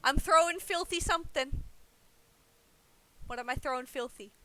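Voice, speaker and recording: A woman casually chatting as she plays an online game on stream, playful, teasing and quick to swear. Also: sits high, sharp tone, poor audio. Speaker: livestreamer playing an online game. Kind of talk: casual gameplay chat with a co-player.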